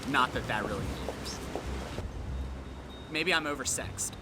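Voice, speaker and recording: A man's voice: mid-range voice, animated, unhurried. A man answering street interview questions outdoors, chatty and enthusiastic. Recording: outdoor street noise, traffic. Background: loud street noise and music.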